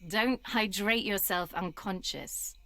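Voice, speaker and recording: Composed female soldier, medium pitch, dry persuasive delivery, game cutscene. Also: takes it slowly, fairly bright tone, no room sound. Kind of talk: video game cutscene acting.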